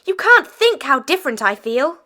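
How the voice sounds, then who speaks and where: A woman's voice: high voice, sing-song, moderate pace, bright, forceful tone, full character voice. A woman playing an earnest, affectionate runaway schoolgirl in an audiobook dramatization. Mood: happy, eager.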